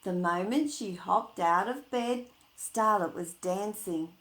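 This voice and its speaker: An echoey room carries a woman's medium-pitched voice at a slow, measured pace. She reads to young children as a storytime host, leaning on key words with expressive, singsong emphasis and slipping into informal chat. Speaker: storytime host reading a picture book aloud to children.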